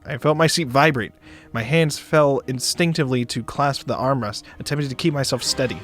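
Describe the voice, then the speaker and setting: A man doing a dramatic narration of a visual novel for a stream, expressive, sometimes stumbling and joking. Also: mid-range voice, brisk. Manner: expressive, stumbles and rereads lines. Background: music behind the voice.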